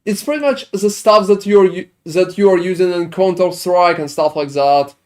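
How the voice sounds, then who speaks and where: A man's voice: sits high, normal speed. Man from Sweden chatting on a stream. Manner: chatty, rambling, swears, answers chat questions. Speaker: game streamer. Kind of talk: casual gaming stream chat.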